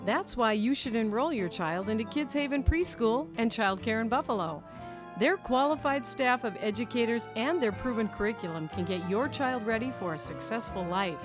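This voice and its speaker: A woman's voice: on the high side, expressive, moderate pace. A woman with an American accent reading a scripted radio advertisement. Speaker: radio ad announcer. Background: music.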